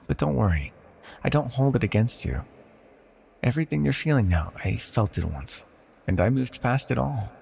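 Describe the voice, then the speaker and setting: A man playing an immortal banished villain sorcerer in a fantasy audio roleplay, speaking softly and formally with condescending, possessive tenderness and a gravelly, husky voice. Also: medium pitch, very animated, moderate pace, full character voice. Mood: teasing, condescending. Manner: soft-spoken, condescending, theatrically formal. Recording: long hall-like reverb.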